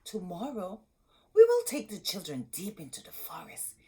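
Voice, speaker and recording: A woman's voice: mid-range voice, huge pitch swings, normal speed, dark tone, breathy edge. A woman voicing a cold, bossy stepmother in a children's storybook, with an insistent, scolding manner. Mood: scheming.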